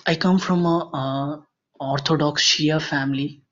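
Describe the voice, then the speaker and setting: A young man from India with an Indian accent gives an earnest, unscripted personal testimony, speaking haltingly with frequent pauses. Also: a little high, fairly even intonation, fairly bright tone. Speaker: voicemail caller. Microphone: voicemail recording. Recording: dull lo-fi voice message.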